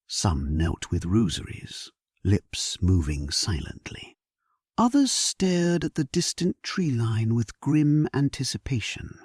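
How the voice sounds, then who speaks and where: Sleep-story narrator: male actor as resolute, solemn king, low and breathy, unhurried, very dry close sound. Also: very animated, darkish tone, no echo, exaggerated voice. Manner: solemn, measured, dramatic delivery. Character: grave, resolute English king at war, narrating his memoir. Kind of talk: scripted first-person sleep-story narration.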